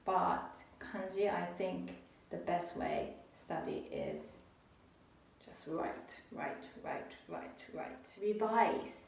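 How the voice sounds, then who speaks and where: A young adult woman from Japan, speaking English with a Japanese accent, giving a casual, halting language lesson as a tutor. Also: lowish voice, wildly swooping pitch, creaky, recorded from a distance, slight background noise. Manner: unhurried, halting, restarts phrases. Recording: echoey room, voice off-mic.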